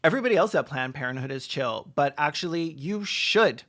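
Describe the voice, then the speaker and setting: A video essayist delivers sarcastic commentary on a film in a mid-pitched voice. The manner is casual and sweary, like someone picking a story apart for friends. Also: animated, a little fast, fairly bright tone.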